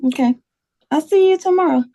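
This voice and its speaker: A woman's voice: high voice, brisk, warm tone. A woman playing an easygoing, helpful friend who chats casually in a table read. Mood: friendly.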